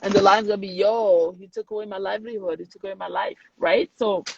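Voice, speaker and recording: A woman's voice: mid-range voice, fairly even intonation, fast talker, gravelly, background noise. A woman from Haiti, talking earnestly and emphatically in a casual conversation, repeating words for weight. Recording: dry, dull lo-fi sound.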